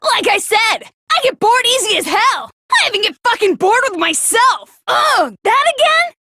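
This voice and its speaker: A very high, piercing bratty teenage girl villain from an anime game. She is brash, profane and manic, swinging wildly across her range in a dry recording. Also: very animated, moderate pace, bright, forceful tone, no echo, exaggerated voice. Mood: manic, bored rage. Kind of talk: anime video game character acting.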